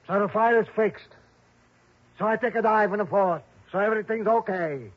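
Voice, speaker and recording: A defiant young prizefighter talks in rough slang. His voice is high and husky on a muffled old radio drama recording. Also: moderate pace. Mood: sullen defiance. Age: young adult. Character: defensive, punch-drunk young prizefighter. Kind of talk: 1960s radio drama acting.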